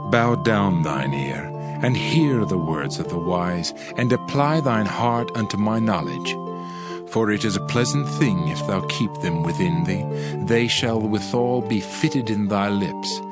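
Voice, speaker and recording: Man, low voice, solemn formal scripture narration, instructive delivery, clear background music. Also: expressive, measured pace. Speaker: audio Bible narrator. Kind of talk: dramatized scripture reading. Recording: loud background music under the voice.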